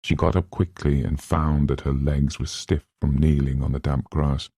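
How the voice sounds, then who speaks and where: Low mellow guy reading a novel, scripted narration, really dry close sound. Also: little pitch movement, moderate pace, breathy edge, dry recording. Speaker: audiobook narrator.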